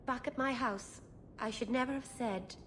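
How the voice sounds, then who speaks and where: Woman acting a high-voiced contrite woman. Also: moderate pace, fairly bright tone, slight background noise, lightly acted. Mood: remorseful. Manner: apologetic, earnest. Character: contrite woman apologising to family or friend. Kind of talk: video game voice acting.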